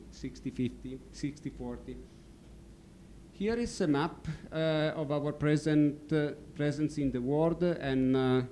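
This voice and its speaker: A man with an Italian accent delivers a business presentation, halting often on fillers as he hunts for words. His voice is mellow and warm, set at an ordinary pitch. A faint low rumble sits behind him in the room. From Italy. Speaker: company founder presenting. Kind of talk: presentation talk. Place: stadium function room.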